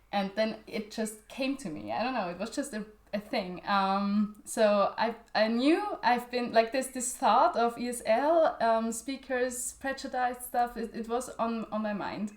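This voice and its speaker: A woman's voice: on the high side, roomy sound. A young woman from Austria whose first language is German, a guest in an interview, talking with many fillers and restarts and laughing often. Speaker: interview guest, journal founder. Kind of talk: conversational interview.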